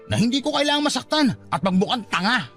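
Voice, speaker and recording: A man's voice: very high voice, big pitch swings, fast, fairly bright tone, soft background music, exaggerated voice. An actor playing a hurt, fed-up young father in an emotional, reproachful Filipino radio drama style. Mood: agitated, bitter. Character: hurt, fed-up young father giving up on his unrequited love.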